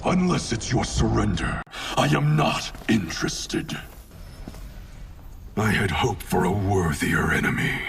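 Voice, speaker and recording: An actor playing a proud, stern, defiant warrior clan leader in a video game cutscene, speaking in terse, commanding phrases. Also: male voice, lowish voice, very animated, measured pace, vocal fry, distant mic, background noise, full character voice. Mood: cold defiance.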